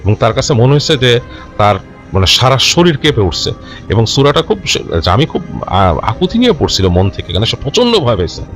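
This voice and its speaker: A man telling a horror story informally and unscripted, in a halting, conversational way with repeated phrases. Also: mid-range voice, big pitch swings, fairly bright tone. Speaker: storyteller of a ghost story show. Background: background music.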